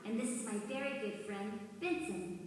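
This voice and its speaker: A woman playing a scheming little girl doll in an animated storybook, sweetly menacing and deliberate. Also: on the high side, natural voice. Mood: sweetly polite. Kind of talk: animated film storybook acting. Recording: very echoey distant hall, clear music underneath.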